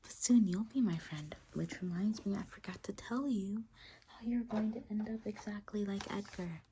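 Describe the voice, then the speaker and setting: A woman playing a menacing spider demon in an ASMR roleplay, whispering breathily in a rambling, deadpan, casually cruel way close to the mic. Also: medium pitch, normal speed, lightly acted. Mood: chatty, menacing. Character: menacing female spider demon toying with her human prey. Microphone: close ASMR microphone. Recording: hissy close recording with clicks.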